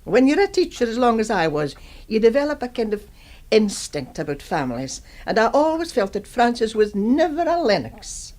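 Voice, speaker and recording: An actress playing a wry, sharp-tongued retired schoolteacher of seventy-seven in a radio drama, teasing and deliberate. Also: female voice, a little high, very animated. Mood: reflective, certain. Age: 70s.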